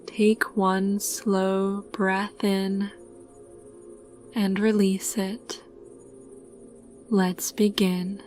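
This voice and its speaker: A woman narrating a scripted guided sleep meditation in a calm, soothing, unhurried manner. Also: mid-range voice, soft background music.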